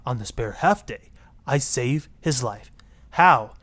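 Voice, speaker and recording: A man's voice: mid-range voice, animated, slow, a bit bright. A man voicing a self-righteous, grandiose marriage guru with a put-on Latin accent on a parody radio talk show. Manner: grandiose, preachy, easily offended.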